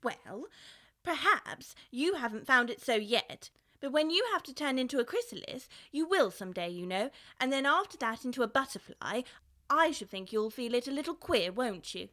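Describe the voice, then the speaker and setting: Shy polite little girl in a storybook, high bright voice, easily flustered. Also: sing-song, normal speed, exaggerated voice. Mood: earnest, slightly irritated. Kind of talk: full-cast audiobook acting.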